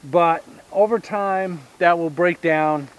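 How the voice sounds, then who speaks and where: A man in his fifties with a Southern US accent, giving a casual outdoor tour of his homestead and explaining each step with pauses. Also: fairly high pitch. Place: outdoors on rural land by a pine forest. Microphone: action camera held at arm's length.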